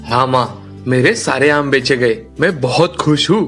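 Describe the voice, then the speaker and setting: A man's voice: mid-range voice, measured pace, fairly bright tone, natural voice. A man voicing a polite, earnest, hardworking young village farmer in a cartoon story. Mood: happy. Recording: music under the voice.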